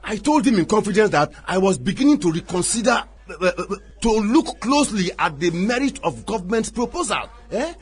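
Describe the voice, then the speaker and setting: Radio drama chief: Nigerian, proud and vengeful, high husky voice, declamatory. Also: male voice, sing-song, a little fast, few pauses, soft background music, exaggerated voice. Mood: wounded, threatening. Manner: declamatory, indignant.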